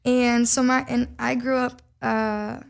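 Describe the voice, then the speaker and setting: A fairly high-voiced young woman from Minnesota, chatting as a podcast guest. She tells her story casually, scattering "like" fillers and laughing easily. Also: flat delivery, takes it slowly, gravelly.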